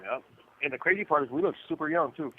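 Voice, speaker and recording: A man's voice: a little high, fast talker, breathy edge. A man in his forties calling from prison, laid-back and joking. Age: 40s. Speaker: incarcerated guest on a call-in show. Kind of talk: casual phone chat. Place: prison phone. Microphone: phone line. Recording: faint noise on a prison phone line.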